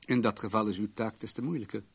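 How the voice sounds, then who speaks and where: An actor playing a wealthy, controlling businessman in a radio play, formal, guarded and self-assured in a measured way. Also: on the low side, steady tone, creaky, breathy edge. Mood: matter-of-fact.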